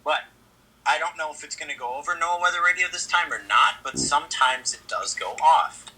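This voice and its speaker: A man's voice: a little high, brisk, pushed and bright, poor audio. A man on a video call casually guiding someone through a setup, explaining options as he goes. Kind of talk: casual instructional chat. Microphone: video call heard through a device speaker.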